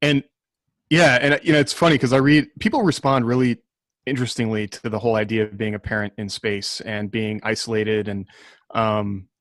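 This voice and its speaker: A man speaking as an author on a casual discussion panel, reflective and halting as he thinks aloud. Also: lowish voice, steady tone, fairly bright tone, dry recording, studio quality.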